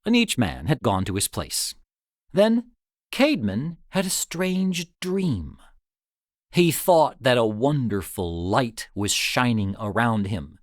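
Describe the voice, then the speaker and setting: A man reading an old tale as scripted narration, in a dramatic, expressive storytelling manner. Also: mid-range voice, no echo, clean studio sound. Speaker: story reader.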